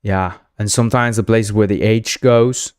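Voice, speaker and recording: A man's voice: on the low side, steady tone, normal speed, darkish tone, clean tone, no room sound, studio quality. A man whose first language is Spanish, hosting a casual live coding stream, thinking aloud, repeating himself and playfully teasing his chat. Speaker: game developer streaming live.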